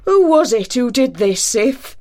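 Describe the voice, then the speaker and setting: A woman voicing a mighty male thunder god who vows revenge forcefully. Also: high voice, sing-song, a little slow, voice pushed up. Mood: raging. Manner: forceful, commanding.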